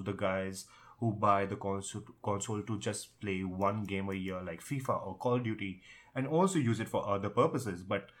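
Low-voiced man in casual tech discussion. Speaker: podcast co-host.

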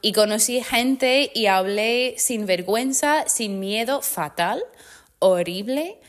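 Una mujer que presenta un pódcast y habla un español aprendido como segunda lengua, con tono relajado y de apoyo. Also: female voice, on the high side, takes it slowly. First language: not Spanish. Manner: relaxed, encouraging listener. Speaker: podcast host. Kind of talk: casual conversation.

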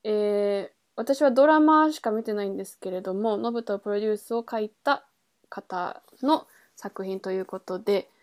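A woman's voice: mid-range voice, normal speed, a little mellow. A woman hosting a casual book chat podcast, talking in a chatty way and thinking aloud as she goes. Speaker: podcast host; singer.

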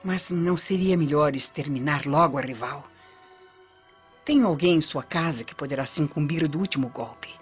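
A woman's voice: deep voice, normal speed, close to an everyday voice. A woman playing an imperious, scheming noblewoman in a radio drama, with a Brazilian accent and a formal, commanding manner. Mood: scheming, impatient. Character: imperious, scheming Roman noblewoman, coldly ambitious. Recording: muffled radio drama with prominent music.

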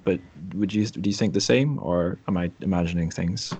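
A man's voice: low voice, normal speed, a little mellow, breathy edge. A man hosting a podcast interview in an informal, conversational way, phrasing his thoughts tentatively. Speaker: podcast host.

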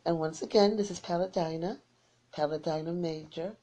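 A tired woman who is losing her voice, rambling through friendly shout-outs to listeners on her small internet radio station. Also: lowish voice, moderate pace. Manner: rambling and warm, repeats her sign-off.

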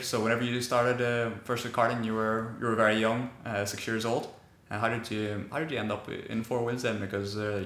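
Irish host, chatty and informal, low even voice, echoey room. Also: male voice. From Ireland. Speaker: podcast host. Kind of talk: casual interview.

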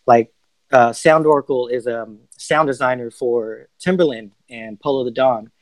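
Relaxed male music producer, dry sound. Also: medium pitch, normal speed, dry recording. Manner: relaxed, many um fillers. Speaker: music producer, podcast guest. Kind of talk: casual interview answers.

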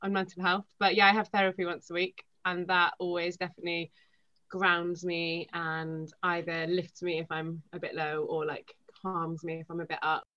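A woman's voice: fairly low pitch, level tone, normal speed, highs cut off. A woman from Wales chatting openly and casually as a podcast guest. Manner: chatty, open. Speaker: podcast guest, writer and actor. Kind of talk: candid, casual interview chat.

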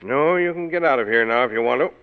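An actor playing a gruff, curt male police lieutenant with clipped speech, in a 1940s radio play on a dull old broadcast. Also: mid-range voice, animated, fast, close to an everyday voice.

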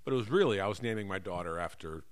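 Middle-aged American man, lowish voice, very lively intonation, rambling comedic conversation. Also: very fast, clear, resonant tone. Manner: animated, rambling, self-correcting. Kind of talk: casual comedic chat.

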